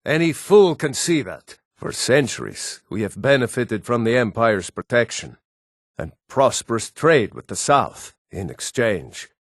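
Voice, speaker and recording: An actor playing a proud, stern Nord man in a fantasy video game, with a measured, weighty delivery. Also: mid-range voice, very animated, dry recording, studio quality.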